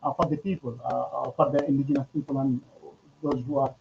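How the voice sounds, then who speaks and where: A man from Bangladesh, giving an academic presentation over a video call, halting and full of filler sounds. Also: medium pitch, little pitch movement, vocal fry, a little hiss or hum. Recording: muffled video-call audio.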